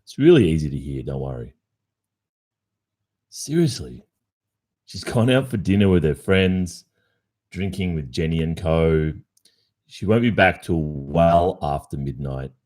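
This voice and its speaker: A man's voice: a bass voice, expressive, unhurried, pauses often, a little mellow. A man with an Australian accent acting a cheating, casually evasive young boyfriend in an ASMR roleplay, speaking conversationally with hesitations. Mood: playful, dismissive. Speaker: roleplay performer.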